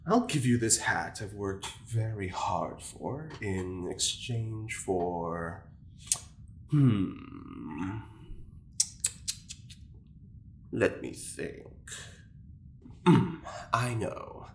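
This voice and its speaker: A scheming male prisoner, acted by a man in an audio drama, mock-polite and deliberate. The low voice creaks slowly through teasing pauses, with roomy echo and some noise around it. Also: animated, exaggerated voice.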